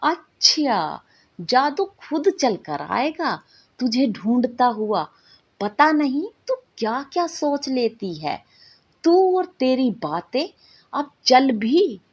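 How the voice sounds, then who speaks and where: A woman's voice: high voice, very animated, slow, slightly rough, highs cut off, exaggerated voice. A woman voicing a dreamy, bossy young girl from a magical world in a bedtime story, earnest and dramatic. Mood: scolding.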